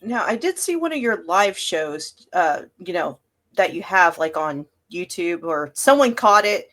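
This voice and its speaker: A woman's voice: lowish voice, big pitch swings, measured pace, fairly bright tone. Chatty woman interviewer. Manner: enthusiastic, informal, says y'all. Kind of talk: casual interview.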